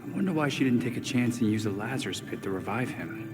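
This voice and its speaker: A man performs a young vigilante teammate for a game cutscene, casual and upbeat. His mid-pitched voice sits in long reverb with music behind. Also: animated, quick pace, lightly acted. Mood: easygoing, eager. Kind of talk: video game cutscene acting.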